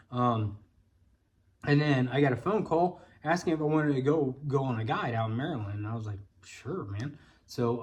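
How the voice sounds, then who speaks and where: Folksy American man telling a personal story. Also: medium pitch. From Indiana, United States. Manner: folksy, colloquial. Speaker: vlog host, bowfishing guide.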